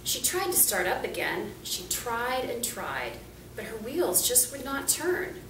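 Storybook reader: middle-aged woman, lively intonation, fairly high voice, roomy distant recording. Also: measured pace. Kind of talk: reading a children's picture book aloud. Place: small indoor room, seated. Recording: roomy, distant camera mic, faint noise.

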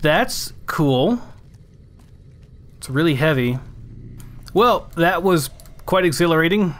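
A man's voice: a little high, expressive, takes it slowly, a bit bright. A man giving casual let's play commentary, thinking aloud as he plays.

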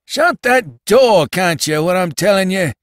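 A man's voice: sits high, sing-song, dry recording, clean studio sound, voice pushed up, full character voice. A man voicing a shrivelled, quarrelsome old London cabman with a sour, irritable manner in a storybook reading. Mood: sour, irritated. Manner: sour, irritable, drawn-out emphatic delivery.

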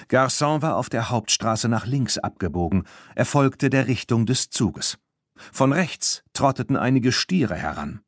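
Male children's audiobook narrator, animated and expressive, dry studio-like sound. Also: fairly low pitch, no echo. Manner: animated storytelling, acting out dialogue. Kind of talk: scripted narration of a children's story.

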